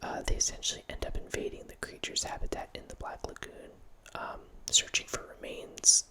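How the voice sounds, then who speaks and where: Whispering man rambling close to the mic. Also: brisk. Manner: rambling, self-correcting, casual. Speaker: host. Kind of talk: whispered ASMR horror film ramble. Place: small home room with bookshelf. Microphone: studio mic close up, headphones.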